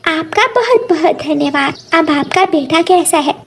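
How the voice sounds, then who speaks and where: A woman voicing a gentle, trusting mother sparrow in an animated children's story. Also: very high voice, unhurried, a little hiss or hum, voice pushed up, heavily acted. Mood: polite. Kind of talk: animated Hindi moral story dialogue.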